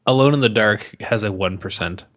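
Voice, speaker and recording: Low-voiced man podcasting, crude and casual. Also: brisk, fairly bright tone. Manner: crude, swearing. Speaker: podcast co-host. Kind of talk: casual banter about movies.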